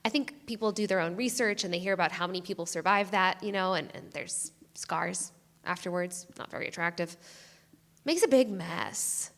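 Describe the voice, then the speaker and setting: An actress playing a chatty, squeamish small-island sheriff, polite and rambling, in a live staged reading. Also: female voice, lowish voice, moderate pace, fairly bright tone. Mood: chatty, matter-of-fact. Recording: reverberant live theatre recording.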